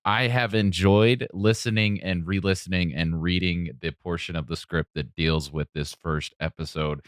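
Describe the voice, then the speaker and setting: A man's voice: deep voice, steady tone, normal speed, clear, resonant tone, no echo, clean studio sound. A man hosting a casual podcast discussion, speaking conversationally. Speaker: podcast host.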